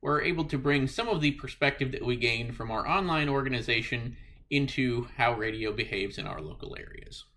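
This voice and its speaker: A man giving a scripted club presentation in formal, measured wording as its incoming president. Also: mid-range voice, sharp tone, a little hiss or hum.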